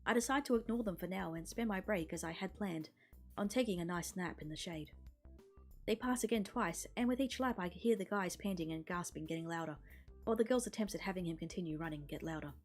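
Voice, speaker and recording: A woman's voice: fairly low pitch, brisk. A woman streamer casually narrating a visual novel's script aloud. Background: music.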